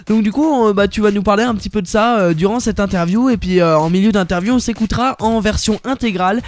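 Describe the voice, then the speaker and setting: A man's voice: sits high, fast. A young man hosting a radio interview, talking casually with many fillers and restarts. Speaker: radio host.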